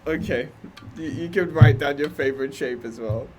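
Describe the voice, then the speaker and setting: Loud, skeptical young man. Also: on the high side, soft background music. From Australia. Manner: loud, skeptical, quick to protest. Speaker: podcast host. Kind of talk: casual podcast chat.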